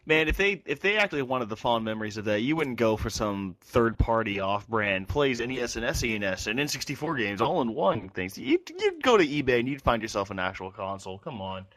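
A man's voice: medium pitch, big pitch swings, brisk, few pauses, fairly bright tone, no echo. A man co-hosting a casual gaming podcast who talks with enthusiasm, laughs easily and argues his point. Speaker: podcast co-host.

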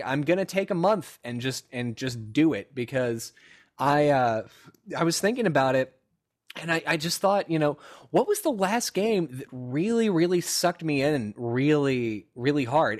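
A man's voice: on the high side, animated, moderate pace. A young man co-hosting a casual podcast, rambling reflectively with frequent restarts and fillers. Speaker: podcast co-host. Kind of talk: casual chat.

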